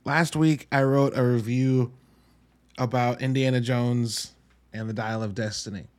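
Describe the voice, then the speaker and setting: A man's voice: mid-range voice, steady tone, a little slow, a little mellow. Casual, wry male podcast host. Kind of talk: casual solo movie review.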